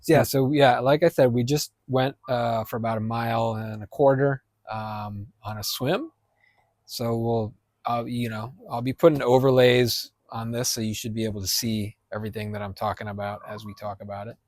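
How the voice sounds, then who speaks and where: A man's voice: mid-range voice, fairly even intonation, normal speed, a little mellow, dry recording, clean studio sound. A man hosting a casual outdoor interview under an eave in the rain, chatty and hesitant, with lots of fillers and restarts. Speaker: host and app maker.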